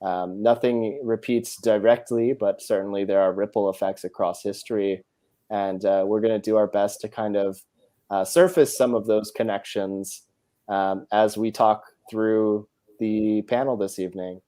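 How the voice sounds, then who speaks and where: Low, mellow-voiced male panel moderator. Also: steady tone. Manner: measured, with frequent filler words. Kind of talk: online panel discussion.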